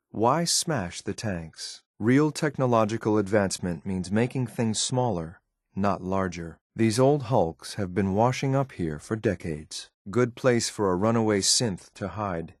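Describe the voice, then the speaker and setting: A cold, dutiful android soldier from a video game, with a low male voice. He speaks in a clipped, formal way, restrained and obedient. The sound is dry and close. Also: no echo. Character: stern, loyal male synth operative, cold and dutiful. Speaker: companion character in a video game. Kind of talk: scripted game voice lines.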